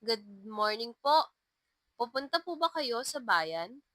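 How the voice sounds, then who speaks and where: A woman's voice: a little high, big pitch swings, takes it slowly, fairly bright tone, no echo, exaggerated voice. A woman voicing a friendly, courteous man, a teacher, in a narrated love story.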